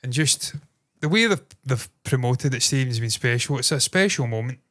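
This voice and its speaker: A man in his thirties with a nasal Glaswegian accent, co-hosting a casual podcast chat, animated and swearing freely. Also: medium pitch, brisk. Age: 30s. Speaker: podcast co-host.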